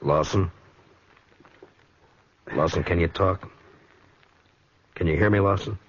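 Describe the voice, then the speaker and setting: An actor playing a stern, weary, middle-aged frontier marshal in a 1950s radio western, terse and grave. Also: male voice, low-pitched, slow, breathy edge, exaggerated voice. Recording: muffled, noisy old radio recording.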